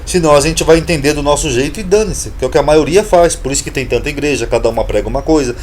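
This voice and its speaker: A man's voice: on the high side, very fast, a little hiss or hum. Emphatic Brazilian man teaching scripture. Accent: Brazilian Portuguese. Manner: emphatic, repetitive, explaining with rhetorical questions. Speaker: Bible teacher, channel host. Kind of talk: informal Bible lesson to camera.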